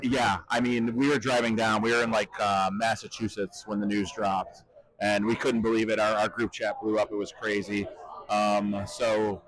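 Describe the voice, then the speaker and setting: A man's voice: lowish voice, little pitch movement, fairly bright tone, poor audio. A man casually interviewing two people waiting in line, upbeat and friendly. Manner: friendly, upbeat, asks quick questions.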